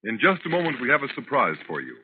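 A man's voice: mid-range voice, wildly swooping pitch, quick pace. A man announcing a scripted 1930s radio program in a dramatic, emphatic way. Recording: muffled 1930s radio recording with music.

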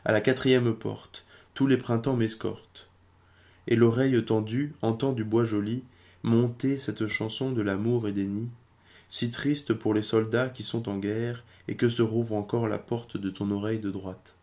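Male amateur poetry reader: low, creaky, solemn and measured delivery. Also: fairly even intonation, darkish tone.

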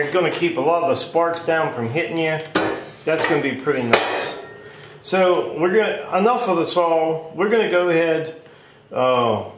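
A middle-aged American man talks through a casual hands-on demonstration. His manner is plainspoken and folksy, with a mid-pitched voice. It is recorded in a roomy workshop shed with echo and noticeable noise behind him. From United States. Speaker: homestead vlogger reviewing a tool. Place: open wooden workshop shed. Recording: roomy echo, clear background noise, clatter.

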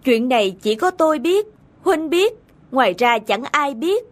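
A woman's voice: high voice, sing-song, unhurried. A woman playing a vain, pushy middle-aged male official in a cartoon folktale, insistent and boastful. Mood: persuasive.

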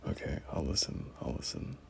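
A young man playing a bashful, teasing friend turned boyfriend in an intimate roleplay audio, speaking in halting, murmured slang. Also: darkish tone, slight background noise, close to an everyday voice. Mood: stunned, unsure.

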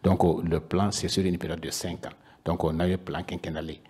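A man's voice: deep voice, flat delivery, moderate pace, a little mellow, breathy edge. A man in his fifties with a Malian accent, explaining as a panel guest in a hesitant way, often repeating words. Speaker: regional council official, panel guest. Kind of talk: panel discussion, explaining in Bambara mixed with French. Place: indoor panel room. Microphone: handheld microphone with foam cover.

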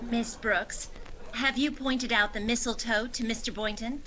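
Woman acting an eager, bright, high-pitched comedy woman. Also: steady tone, measured pace, some chatter behind, a little hiss or hum. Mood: insistent. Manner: emphatic, exclamatory. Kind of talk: old-time radio comedy acting.

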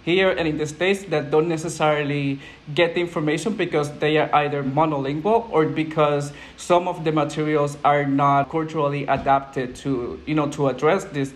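A young man with a Puerto Rican Spanish accent talks in a thoughtful, measured way as a guest on a panel. His voice sits fairly high for a man. He is heard through wired earphones on a video call from a room at home. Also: fairly even intonation. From Puerto Rico. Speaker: program manager, panel guest. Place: indoors at home, plain wall. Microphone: earphone headset on video call.

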